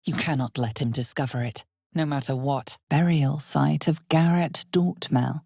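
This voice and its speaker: A woman playing an ominous, all-knowing narrator of a dark fantasy video game, speaking in a measured, dramatic way with hushed intensity. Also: extremely low pitch, steady tone, creaky, slightly rough, no room sound, clean studio sound, full character voice. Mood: ominous, solemn. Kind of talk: scripted second-person fantasy game narration.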